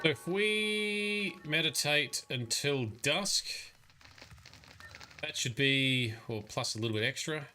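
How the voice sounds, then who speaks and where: Chatty bright-voiced male streamer. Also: a little high, slow, faint music behind. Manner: chatty, rambling, muttering asides and complaints. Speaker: livestreamer playing a game. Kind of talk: casual live gameplay commentary.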